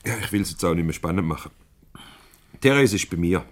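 An actor playing a shrewd, teasing boss and go-between in a 1970s Swiss German radio comedy, probing, wry and avuncular. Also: male voice, low voice, lively intonation, fairly quick. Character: shrewd, teasing boss mediating a couple's quarrel.

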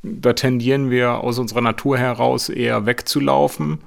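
A man's voice: mid-range voice, steady tone, moderate pace, gravelly. A man speaking as an expert in an interview, explaining in a reasoned, instructive way. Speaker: financial expert being interviewed. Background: music.

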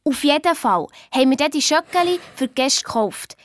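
Sensible Swiss German girl, high voice, chiding and bossy, children's radio play, close dry sound with faint music. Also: expressive, brisk, dry recording. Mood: chatty, pleased. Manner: chiding, matter-of-fact, colloquial. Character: sensible, bossy young girl from a hotel family. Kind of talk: first-person storytelling in a children's radio play.

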